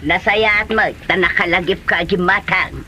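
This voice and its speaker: Woman acting a sharp-voiced, nagging Ilocano mother. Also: lowish voice, moderate pace, a little hiss or hum. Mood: grumbling. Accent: Filipino Ilocano. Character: nagging, weary mother of a poor household. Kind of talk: comedy drama acting.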